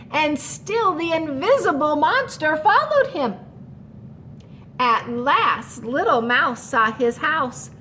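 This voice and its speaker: Female storyteller for children: very high, lively pitch, unhurried, expressive, faint background rumble. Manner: animated, explains the story in asides. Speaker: story reader. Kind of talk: expressive children's read-aloud.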